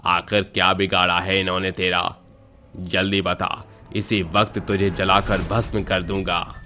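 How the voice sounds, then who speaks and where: A stern tantric holy man from a horror tale, voiced by a male narrator. His voice is low and commanding, with faint music underneath. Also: little pitch movement, unhurried, bright, forceful tone. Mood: stern threatening. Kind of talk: horror story character voice.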